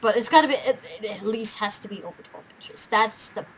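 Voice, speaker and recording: A woman's voice: medium pitch, a little fast, a little hiss or hum, low-quality recording. A young woman hosting a casual chat, talking in a giggly, rambling way. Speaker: host of a fan gaming and quiz show.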